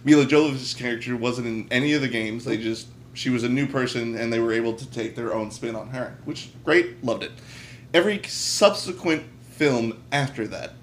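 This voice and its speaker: A man with a medium-pitched voice co-hosts a casual podcast. He talks in a blunt, emphatic way, joking and swearing as he gives opinions. A faint noise sits behind his voice. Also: fairly bright tone. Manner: blunt, emphatic, profane, jokey. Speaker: podcast co-host.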